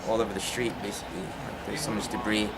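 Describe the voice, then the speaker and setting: A man's voice: on the low side, fast talker, gravelly. A man from Japan who speaks natively, giving hesitant, tentative answers as an aid worker in an informal interview. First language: English. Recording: very noisy outdoor recording with traffic.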